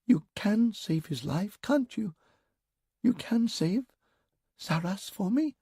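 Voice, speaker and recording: A man voicing a desperate young woman softly pleading for her lover's life, in a storybook reading. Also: expressive, slow pace, slightly rough, dry recording, studio quality, heavily acted. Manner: soft, pleading, high-pitched.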